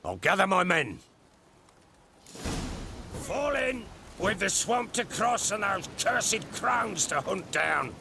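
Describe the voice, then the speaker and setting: A man's voice: high-pitched, very animated, slow pace, gravelly, long pauses, background noise, full character voice. A man playing a haughty, foul-mouthed lord in command of soldiers in a video game, imperious and scornful. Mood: urgent, commanding.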